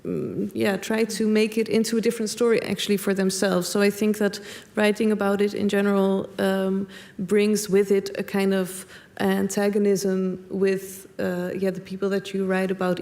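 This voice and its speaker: A young woman from the Netherlands speaks in a creaky, slightly gravelly voice. She talks hesitantly and thoughtfully in an on-stage conversation, leaning on fillers as she goes. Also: mid-range voice, little pitch movement, moderate pace, darkish tone. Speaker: panel guest.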